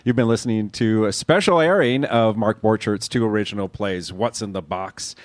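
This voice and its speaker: A man's voice: on the low side, normal speed, no echo. An American man announcing on live radio, giving informal plugs and reminders to listeners.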